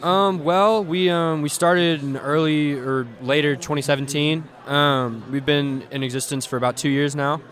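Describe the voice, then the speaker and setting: A man's voice: on the high side, moderate pace, few pauses. A seventeen-year-old man from the Memphis area, chatting about his band with lots of ums, outdoors at an event. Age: teens. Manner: relaxed, many um fillers. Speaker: band drummer, guest. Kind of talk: casual interview chat.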